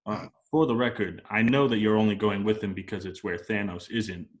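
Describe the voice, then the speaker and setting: A man's voice: fairly low pitch, steady tone, brisk, lightly acted. A man playing an indignant, insecure space outlaw captain, petulant and protesting, in an amateur film table read. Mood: sulky.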